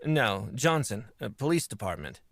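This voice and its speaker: A woman's voice: rumbling low, sing-song, normal speed, no room sound, studio quality, heavily acted. A woman playing a brusque, businesslike police officer in an old-time radio drama. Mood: curt, official.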